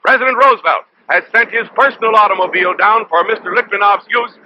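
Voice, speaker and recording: A man's voice: high-pitched, quick pace, muffled recording, background noise. An American man narrating a 1930s newsreel in a declamatory, dramatic announcer's manner, on an old film soundtrack.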